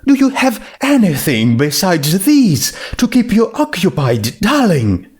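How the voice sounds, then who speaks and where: A refined, motherly lady pony, as a male reader puts her on in a story. The voice is lifted high and slow, with a genteel, fussing manner. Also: wildly swooping pitch, a little mellow, slightly rough, full character voice. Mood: caring. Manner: genteel, fussing, affectionate endearments. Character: refined, motherly female pony dressmaker, caring and firm.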